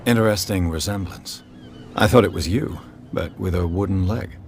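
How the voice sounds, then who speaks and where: An actor playing a composed, dogged detective in a video game, with a terse, dry, questioning way of talking. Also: male voice, sits low, moderate pace, slight background noise, close to an everyday voice. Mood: composed, curious.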